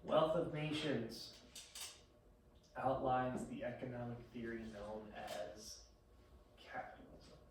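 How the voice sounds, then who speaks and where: A man's voice: medium pitch, slow pace, long pauses. A man teaching a history lesson in casual, joking conversation, quizzing a student. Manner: jokey, conversational, quizzing questions. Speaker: high school history teacher. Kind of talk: casual one-on-one classroom teaching. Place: classroom. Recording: echoey room, far-off mic, background noise.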